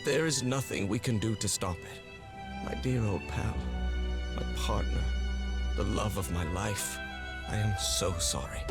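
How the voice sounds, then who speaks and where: A man's voice: on the low side, creaky. An actor playing a devoted, remorseful 1930s husband, reading his letter as a solemn, tender video game voice-over. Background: music.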